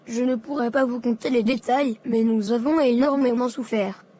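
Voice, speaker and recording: A woman voicing a hurt, dutiful schoolboy in an animated drama, subdued and earnest. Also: a little high, normal speed, natural voice. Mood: sad, confessional. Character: hurt, dutiful schoolboy son named Karl. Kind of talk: dramatic dialogue and confession in an animated short. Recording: music behind.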